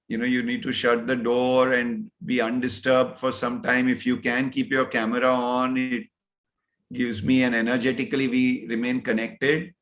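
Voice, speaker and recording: A man with a slightly husky voice, leading a guided meditation in a soft, gentle way over a video call. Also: mid-range voice. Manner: soft and slow, drawn-out pauses. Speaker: meditation trainer.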